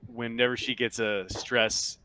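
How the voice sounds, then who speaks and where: A man explaining tabletop game rules casually, in a halting manner. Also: mid-range voice, little pitch movement, sharp tone, clear, resonant tone, rough recording.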